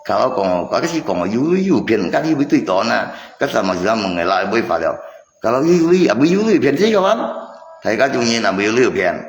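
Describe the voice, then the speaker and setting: Animated, gravelly man in echoey space. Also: medium pitch, fairly quick, a little hiss or hum. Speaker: livestreamer. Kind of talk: casual livestream chat. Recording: echoey reverberant space, music behind.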